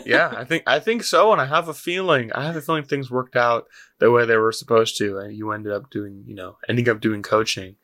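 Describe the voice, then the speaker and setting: A man's voice: mid-range voice, fairly quick, fairly bright tone. A man hosting a casual podcast interview, encouraging and affirming his guest. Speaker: podcast host.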